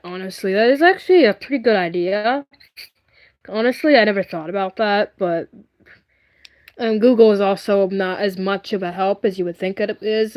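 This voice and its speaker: A woman hosting a casual livestream, talking in a rambling, earnest and emphatic way and often repeating herself. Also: mid-range voice. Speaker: livestream host.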